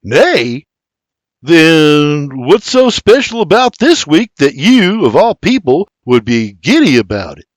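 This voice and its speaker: Guy doing a cheeky irishman with an irish lilt, way higher than his normal voice and lively, dry sound. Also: takes it slowly, no echo. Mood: wry. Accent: Irish, as played. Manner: wry, sarcastic retorts. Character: sarcastic younger Irishman, quick and teasing.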